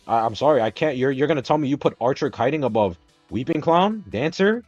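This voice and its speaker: A man's voice: mid-range voice, animated, fast, darkish tone, no room sound, muffled recording, soft background music. A young man from the Dominican Republic hosts a stream and argues hard about a game, swearing often and getting more and more exasperated. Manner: argumentative, swears a lot, rising exasperation. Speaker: streamer host. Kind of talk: heated casual gaming debate.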